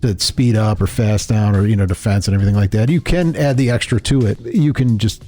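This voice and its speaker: Middle-aged male podcast host, warm low-key tone, talks fast and loose, many restarts. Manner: rambling, loose, lots of fillers and restarts. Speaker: host presenting a tabletop game. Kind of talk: casual podcast chat. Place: shop or studio room.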